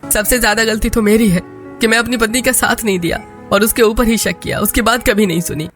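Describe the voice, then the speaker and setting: A man's voice: sits high, steady tone, a little fast, a bit bright, voice pushed up. A man voicing a young husband in a Hindi moral-story cartoon, over music. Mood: remorseful. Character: Rohan, angry young husband scolding his wife. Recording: music bed behind the voice.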